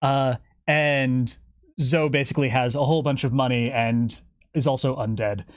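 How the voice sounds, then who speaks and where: A man's voice: mid-range voice, steady tone, moderate pace, no room sound. Wry man, dry close sound. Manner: wry, playful. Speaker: guest, game developer. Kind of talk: unscripted livestream chat explaining game lore.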